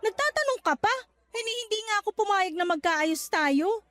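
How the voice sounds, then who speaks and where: A woman's voice: extremely high pitch, lively intonation, normal speed, fairly bright tone, dry recording. An actress playing a weary, curt young mother in a Tagalog radio drama, exasperated with her partner. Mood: confused, exasperated. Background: music bed.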